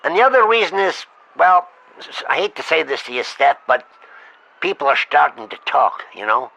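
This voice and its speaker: A man's voice: a little high, sing-song, normal speed, creaky, pushed and bright, heavily acted. A man playing an exasperated, foul-mouthed talent agent in a comedy phone-call sketch, blunt and sarcastic as he scolds his client. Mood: blunt complaining. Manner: blunt, scolding, sarcastic, talking over the other.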